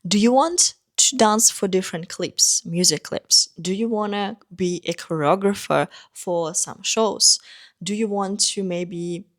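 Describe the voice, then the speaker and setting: A woman's voice: medium pitch, unhurried. A young woman, a dancer and teacher, giving an earnest, encouraging talk to the camera in short listed phrases. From Kazakhstan. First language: Russian.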